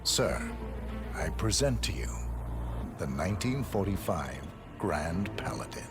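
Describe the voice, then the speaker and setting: An actor playing a dignified male wine steward in a video game, speaking in a formal, deferential, measured way. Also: low voice, big pitch swings. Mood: courteous. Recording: music under the voice.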